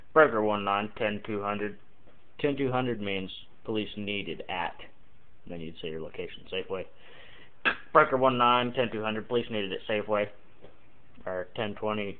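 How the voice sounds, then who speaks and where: A man giving an informal, halting tutorial, thinking aloud as he explains and demonstrates example radio calls. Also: fairly low pitch, clear, resonant tone. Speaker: amateur CB radio tutorial maker. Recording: muffled, tinny phone-like lo-fi audio.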